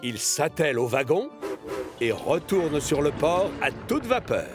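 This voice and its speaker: A man narrating a children's cartoon as an expressive storyteller. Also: a little high, unhurried, over music.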